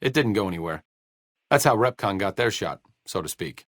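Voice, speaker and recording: Video game companion: a bookish, sarcastic male doctor, idealist and self-deprecating, very varied pitch, no room sound. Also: animated, normal speed, fairly bright tone, no room sound. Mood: dry, disapproving. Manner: dry, sarcastic, wordy delivery.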